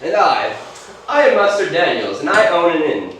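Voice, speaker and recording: Casting: excitable colonial innkeeper played by a man, declamatory, lively swings in pitch, recorded distantly in live theatre. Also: moderate pace, a little hiss or hum. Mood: declaiming. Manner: theatrical, declamatory, old-fashioned phrasing. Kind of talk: live children's stage play acting. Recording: echoey hall, distant microphone.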